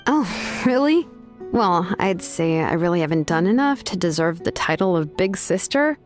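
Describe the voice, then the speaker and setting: An actress playing a young woman smith in a game, easygoing and teasing, with soft game music behind her. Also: lowish voice, moderate pace, close to an everyday voice. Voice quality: relaxed, casual, teasing. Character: young woman blacksmith, easygoing and laid-back. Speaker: name engraver of the tribe. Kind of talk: game dialogue.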